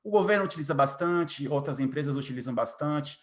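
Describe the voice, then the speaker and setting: A man's voice: a little high, expressive, a little fast. A man in his late twenties with a Bahian Brazilian accent, telling his story informally as an interview guest, often adding little tag questions. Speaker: interview guest, software developer. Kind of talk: casual interview, personal story.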